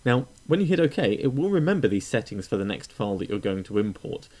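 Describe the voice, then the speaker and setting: A man narrating a casual step-by-step software tutorial in a conversational, explanatory way, pausing often with uhs. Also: on the low side, very animated.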